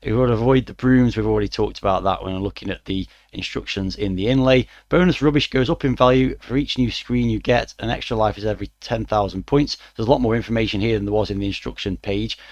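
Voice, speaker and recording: A middle-aged man with a croaky voice, reviewing a retro game with dry, wry asides. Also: lowish voice, fairly even intonation, quick pace, hardly any pauses, a little mellow, dry recording, muffled recording. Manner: dry, mildly wry asides with frequent "uh" fillers. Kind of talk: relaxed spoken review.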